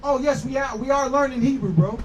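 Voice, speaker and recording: A man's voice: extremely high pitch, brisk, a crowd in the background. A man street preacher debating in an insistent, instructive way, often repeating his points, recorded from a distance outdoors. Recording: distant mic, faint outdoor street noise.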